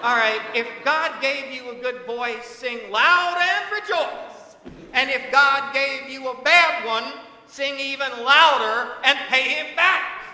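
A man's voice: very high voice, takes it slowly, sharp tone. A man speaking in a folksy, exhorting way as a folk singer introducing a song and coaching an audience to join in. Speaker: folk singer leading a singalong. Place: large indoor hall at a public convocation. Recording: echoey hall with music behind.

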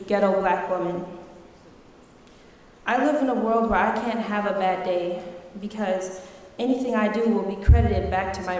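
A woman delivers a spoken word poem with emphatic, rhetorical force. Her voice sits at a middling pitch. It rings out in a large hall with a long, heavy reverb. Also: darkish tone, slight background noise. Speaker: student poet. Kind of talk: spoken word poetry recital.

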